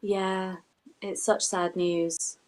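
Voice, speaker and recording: A woman's voice: mid-range voice, flat delivery, very slow, crowd noise. A woman, hosting an online arts interview over a video call. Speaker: host and interviewer.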